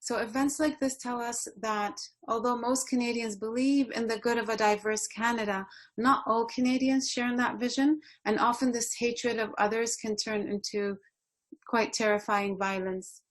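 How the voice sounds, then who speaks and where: A woman giving a prepared presentation at a panel in an earnest, measured way. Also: a little high, fairly even intonation. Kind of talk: prepared presentation, read speech.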